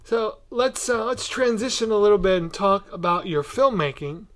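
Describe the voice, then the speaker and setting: A man's voice: sits high, expressive, normal speed, a bit bright. A man hosting a podcast, interviewing in a casual, chatty way.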